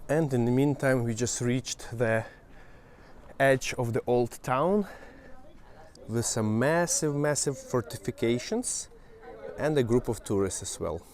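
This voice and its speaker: A man's voice: mid-range voice, a little slow, a little mellow. A man from Western Ukraine, a native Ukrainian speaker with a Ukrainian accent, giving casual travel commentary while walking outdoors and pointing things out to viewers. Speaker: travel vlogger. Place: outdoors walking through an old town.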